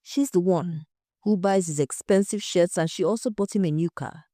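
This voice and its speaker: A woman's voice: fairly low pitch, normal speed, dark tone, no room sound, studio quality, lightly acted. Frank household cook, a man played by a woman. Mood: confident. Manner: assured, gossiping. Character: tubby bald male household cook, frank, confident.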